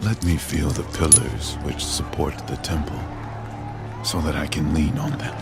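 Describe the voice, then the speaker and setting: A man's voice: rumbling low, very animated, over loud music, a loud crowd, full character voice. A man playing a mighty biblical hero in a dramatized Bible recording, speaking with solemn weight. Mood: weary. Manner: slow, solemn, weighty delivery. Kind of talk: dramatized Bible audio acting.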